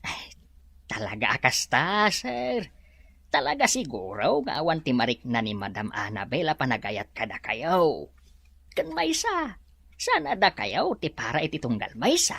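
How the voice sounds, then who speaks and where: Low, wildly swooping servant, acted by a woman. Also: huge pitch swings, measured pace, gravelly, fairly bright tone, husky, dry recording, exaggerated voice. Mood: consoling. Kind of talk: Ilocano audio drama acting.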